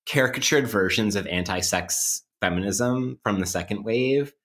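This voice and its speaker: A man's voice: on the low side, moderate pace, a bit bright. A man co-hosting a podcast, talking through ideas in an analytical, academic and conversational way with many qualifications. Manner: academic, hedging phrasing. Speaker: podcast co-host.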